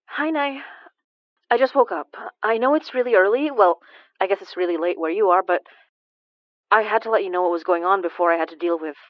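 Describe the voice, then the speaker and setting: A woman's voice: medium pitch, a little fast, dry recording, lo-fi sound, natural voice. A woman playing a candid young woman recording a personal account in an audio drama. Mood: confiding. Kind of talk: naturalistic horror fiction podcast acting.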